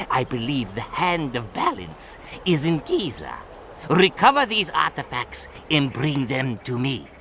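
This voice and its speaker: A man voicing an anxious, scholarly older priest in a video game, with a theatrical, halting delivery. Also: on the high side, bright, forceful tone, heavily acted. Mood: pondering, cautious. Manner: theatrical, halting, muttering then exclaiming. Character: anxious, scholarly male priest-mentor of an ancient order.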